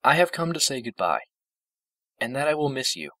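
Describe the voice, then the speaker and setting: A man voicing an ageless female alicorn princess in a storybook reading, formal, grave and measured. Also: mid-range voice, very animated, pushed and bright, no room sound, distant mic, pitched above the performer's own voice. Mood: solemn.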